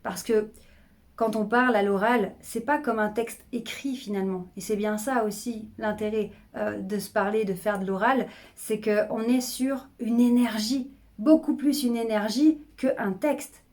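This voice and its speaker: A middle-aged woman speaking as a public speaking coach, energetic and emphatic, often repeating phrases to drive a point home. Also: on the high side, measured pace. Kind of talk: coaching video monologue.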